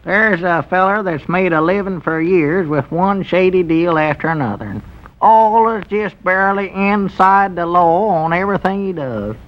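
High-voiced homespun country fellow. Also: male voice, animated, normal speed. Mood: wry, wary. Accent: rural Arkansas. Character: skeptical homespun country storekeeper, Lum's wary partner. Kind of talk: 1940s radio comedy acting. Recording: dull old 1940s radio broadcast.

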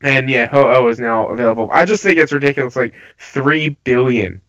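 A man with a stuffy, under-the-weather voice, chatting casually about games with halting restarts. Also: medium pitch, fairly bright tone. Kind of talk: casual gaming chat. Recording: slightly dull lo-fi audio.

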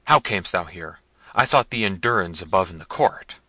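A man voicing a wrathful older tyrant prince who speaks loudly and harshly. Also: low-pitched, expressive, moderate pace, no room sound, full character voice. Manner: loud, harsh, threatening.

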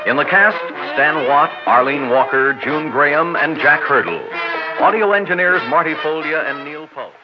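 A man's voice: on the high side, expressive, normal speed. An American man announcing credits as a 1960s radio announcer. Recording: muffled 1960s radio, loud music behind.

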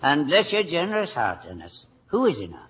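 A man playing an exclamatory stage-gentleman in 1950s radio comedy. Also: a little high, wildly swooping pitch, brisk. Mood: mock sympathy. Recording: dull, slightly noisy vintage radio recording.

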